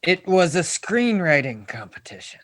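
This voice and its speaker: A man's voice: fairly high pitch, very animated, moderate pace, fairly bright tone, dry recording. Man playing bitter, obsessive failed screenwriter, dry recording. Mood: angry, bitter. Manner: bitter, ranting, profane. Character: angry, obsessive young male failed screenwriter. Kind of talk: screenplay table read acting.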